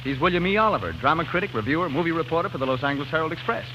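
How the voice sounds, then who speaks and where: A man's voice: on the high side, animated, rapid-fire. A man announcing a 1940s radio show and joining its scripted banter. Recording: noisy, lo-fi 1940s radio broadcast.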